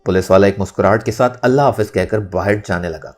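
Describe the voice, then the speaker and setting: A man narrating a novel in an expressive, dramatised storytelling style. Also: deep voice, fast talker, soft background music. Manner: expressive, acts out dialogue. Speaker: audiobook narrator.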